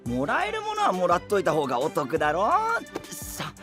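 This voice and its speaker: A man voicing a cheerful, carefree young traveler with a boisterous, naively confident manner in an animated audio drama. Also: sits high, moderate pace, music in the background. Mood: upbeat, carefree. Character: cheerful, food-loving, carefree young male traveling companion. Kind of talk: animated Japanese voice drama acting.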